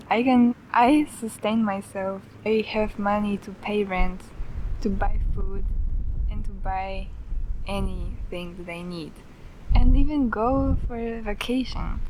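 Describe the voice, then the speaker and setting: Young woman vlogging, soft quiet voice, kinda hesitant, just chatting away. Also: mid-range voice, slight background noise, rough recording. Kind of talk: casual chatty vlog.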